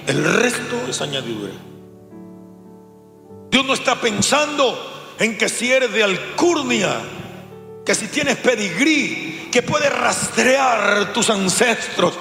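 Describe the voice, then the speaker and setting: Latin american guy preaching, emphatic, sharp gravelly voice, echoey hall w music behind. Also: extremely high pitch, big pitch swings, slow pace. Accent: Latin American Spanish. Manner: emphatic, direct address to congregation, repeats for emphasis. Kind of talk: sermon, teaching with rhetorical questions.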